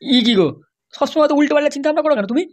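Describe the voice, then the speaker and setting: Cartoon dad, voiced by an actor, blunt and naggy, talks fast fast fast. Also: sits high, lightly acted. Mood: scolding. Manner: scolding, blunt. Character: gruff dismissive father. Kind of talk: cartoon voice acting.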